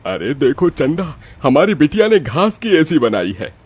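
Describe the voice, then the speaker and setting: A man's voice: fairly high pitch, very animated, a little slow, a little mellow, breathy edge, lo-fi sound, heavily acted. A man playing a poor village father in a cartoon moral story, emotional and exclamatory. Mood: amazed.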